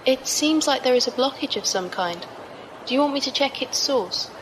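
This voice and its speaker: A high-voiced, formal young squire. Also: female voice, lively intonation, normal speed, background noise. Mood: reserved. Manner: formal, precise wording without contractions. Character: formal, reserved female squire, dryly observant. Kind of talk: sci-fi audio drama acting.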